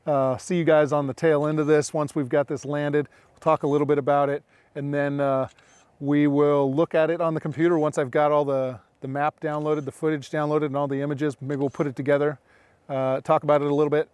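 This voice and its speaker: A man's voice: fairly high pitch, little pitch movement, fairly quick, darkish tone, smooth, no room sound. A middle-aged man giving casual running commentary as he hosts a gear demonstration outdoors. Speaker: drone pilot and video host. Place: outdoors in open dry hills.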